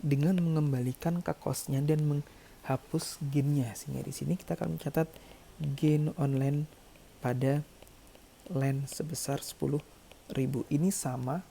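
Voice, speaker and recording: A man's voice: a little high, fairly even intonation, unhurried, gravelly, pauses often, warm tone, a little hiss or hum. A man giving an explanatory tutorial as an accounting lecturer, working through figures step by step and repeating key phrases for emphasis.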